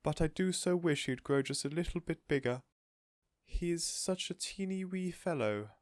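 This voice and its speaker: A man's voice: on the high side, normal speed, no room sound, studio quality, voice pushed up, close to an everyday voice. A man voicing a sweet, gushing woman who dotes on her pet, in a storybook reading. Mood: fretful, insistent. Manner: gushing, effusive, exclaiming. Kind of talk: storybook character voice in audiobook reading.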